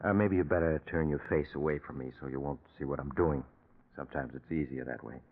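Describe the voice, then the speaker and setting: A reassuring doctor with a deep voice. He soothes and commands like a figure in 1940s radio drama. The recording is narrow and muffled with age. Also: fairly quick. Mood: soothing. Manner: soothing, authoritative. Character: steady, reassuring doctor friend of a nervous man.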